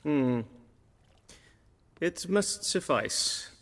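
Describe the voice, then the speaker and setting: An actor playing a fussy, haughty imperial chamberlain in a video game, formal, pompous and precise. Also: male voice, on the high side, expressive, extremely slow, fairly bright tone, exaggerated voice. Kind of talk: video game dialogue acting. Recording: long hall reverb.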